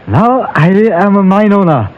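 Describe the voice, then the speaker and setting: A courteous Chinese merchant in an old radio Western, with a high voice and a Chinese accent. His phrasing is formal, trusting and measured. The recording is dull and muffled. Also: sing-song. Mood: proudly excited. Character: courteous, trusting older Chinese storekeeper and mine owner. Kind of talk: old-time Western radio drama acting.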